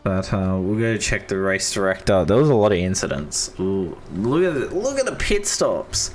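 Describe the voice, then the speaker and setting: A young man with an Australian accent, giving casual, enthusiastic live commentary on an online racing league, his voice hoarse and going. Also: on the low side, sing-song, normal speed, faint music behind. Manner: enthusiastic, repeats praise, casual slang. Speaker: esports race commentator.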